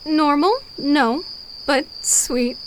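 A wistful woman soldier in a radio drama. Her voice is a little high and affectionate, with clear ambient noise around it. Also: drawn-out words. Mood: wistful, tender. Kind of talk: audio drama acting. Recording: noisy background with night ambience.

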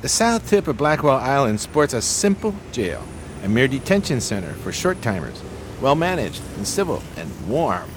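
An actor playing a pompous, genteel homeless schemer in a 1920s radio play, speaking in flowery, courteous phrases. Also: male voice, mid-range voice, sing-song, moderate pace, noisy background, lightly acted. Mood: lofty, indignant.

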